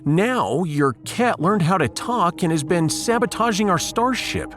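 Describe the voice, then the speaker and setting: A man's voice: sits high, animated, unhurried, pitched above the performer's own voice. A man playing a wry, authoritative and exasperated starship captain in a sci-fi audio drama. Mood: stern, fed up.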